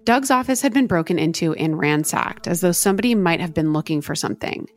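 A woman co-hosting a true crime podcast, telling the case in a steady, earnest way. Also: on the low side, quick pace. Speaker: podcast co-host.

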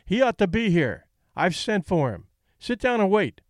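Brusque, loud promoter type, pushed up high and barking, put on by a male narrator in a dry booth. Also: big pitch swings, normal speed, no echo. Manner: snapping, blustering. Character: loud gruff male fight promoter, impatient.

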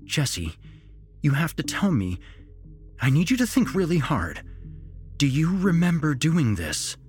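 A man's voice: a little high, huge pitch swings, unhurried, soft background music, voice pushed up. A man voicing a protective woman doctor in an audio story, insistent and pleading. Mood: urgent, pleading.

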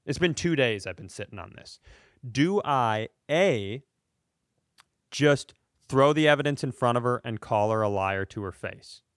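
A man co-hosting a comedy podcast, telling stories in casual banter with animated, mock-serious, sweary rants. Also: mid-range voice, unhurried, no room sound, clean studio sound. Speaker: podcast co-host.

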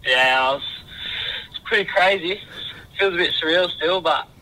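A man's voice: fairly high pitch, normal speed, sharp tone. A young Australian man chatting casually on the phone, polite and hesitant. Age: young adult. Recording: noisy phone call.

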